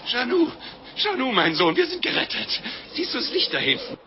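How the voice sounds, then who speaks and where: An actor playing a fat, jolly, gluttonous middle-aged monk with an unctuous, excitable manner in a 1950s radio play. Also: male voice, very high voice, moderate pace, noisy background, full character voice. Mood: excited, alarmed. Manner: unctuous, food-loving, excitable. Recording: dull vintage radio recording with music.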